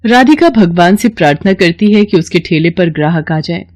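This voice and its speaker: A woman's voice: lowish voice, animated, moderate pace, a little mellow. A young woman narrating a storybook cartoon earnestly and voicing its heroine. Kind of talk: storybook narration with character voices.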